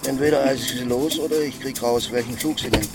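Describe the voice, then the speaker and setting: An actor playing a bossy, brisk criminal accomplice in a crime radio drama, curt and commanding. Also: male voice, medium pitch, lightly acted, low-quality recording. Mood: matter-of-fact. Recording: music behind the voice.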